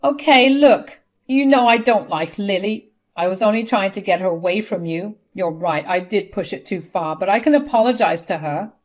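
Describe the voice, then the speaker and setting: A woman's voice: mid-range voice, normal speed, hardly any pauses, natural voice. A woman voicing a manipulative, desperate older mother who pleads and protests dramatically in a story. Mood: defensive pleading.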